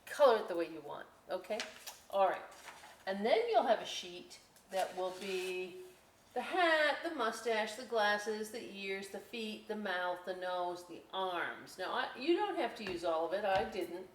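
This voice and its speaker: A woman hosting a children's storytime and craft session, chatty and expressive, speaking directly to young listeners. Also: mid-range voice, unhurried, slight background noise. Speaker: library storytime host. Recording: echoey room.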